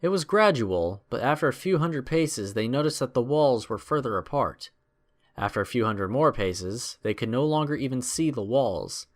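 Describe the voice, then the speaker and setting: A man's voice: mid-range voice, expressive, moderate pace. A man narrating a scripted adventure story in a dramatic storytelling style.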